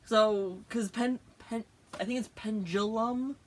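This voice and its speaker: A woman's voice: on the high side, fairly even intonation, slow pace, slight background noise. A woman hosting a casual chat, explaining things in a giggly, chatty way with plenty of fillers. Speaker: podcast host.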